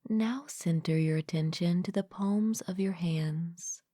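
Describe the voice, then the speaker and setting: A woman leading a guided meditation as a mindfulness coach, speaking gently and calmly in a soft, breathy, partly whispered voice, close to the mic. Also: lowish voice, dry recording. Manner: gentle, calm, unhurried with long pauses. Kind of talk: guided meditation, soothing scripted narration.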